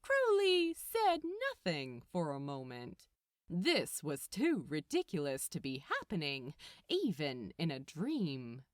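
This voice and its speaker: A woman reading a story aloud as narrator, in an expressive scripted storytelling style. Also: low voice, slow pace, dry recording, studio quality. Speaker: fan fiction narrator.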